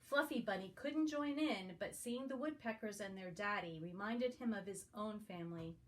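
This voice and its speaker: A woman's voice: mid-range voice, normal speed. A woman reading a picture book aloud to young children as a guest reader. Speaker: guest story reader for preschoolers.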